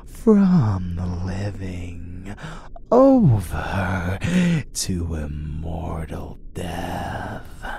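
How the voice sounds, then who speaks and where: Very low, whispery vampire lover. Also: male voice, a little mellow, slight background noise, heavily acted. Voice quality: breathy, often whispering. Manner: slow, crooning, sinister endearments. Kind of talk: intimate ASMR audio roleplay.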